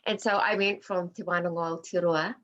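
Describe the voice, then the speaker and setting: A woman's voice: fairly low pitch, fairly even intonation, a little fast, a bit bright, highs cut off. A woman who grew up in Australia, leading a workshop and answering questions on a video call, speaking in a reflective, personal way with Māori words mixed into her English. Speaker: workshop facilitator.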